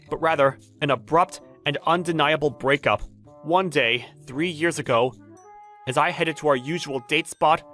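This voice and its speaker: A man's voice: a little high, a bit bright. A man playing a flustered, jilted bachelor who narrates his awkward romance with dramatic, exasperated inner monologue in a manga dub. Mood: incredulous. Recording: background music under the voice.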